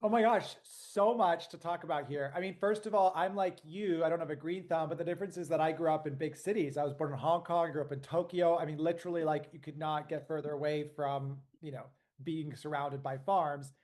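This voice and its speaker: A man speaking as a panel guest in a casual chat, playful and animated as he tells his story. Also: a little high, a little fast, hardly any pauses.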